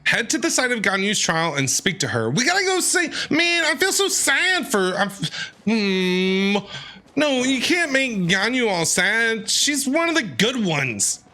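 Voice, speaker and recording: A man giving excited game stream commentary, exclaiming and repeating his phrases in a panicky way. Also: very high-pitched, measured pace. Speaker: streamer playing and reacting to a video game.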